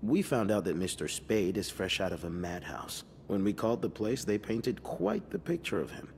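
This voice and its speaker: An actor playing a skeptical, middle-aged police detective in a mystery game, questioning in a probing, condescending manner. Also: male voice, fairly low pitch, big pitch swings, normal speed, creaky, a little mellow. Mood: pressing, doubtful. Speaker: video game character. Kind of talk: narrative mystery game voice acting. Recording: long room reverb, faint noise.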